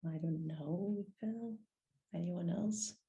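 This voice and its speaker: A woman's voice: fairly low pitch, slow, dark tone, highs cut off. A woman moderating an online panel, thanking speakers in a friendly way.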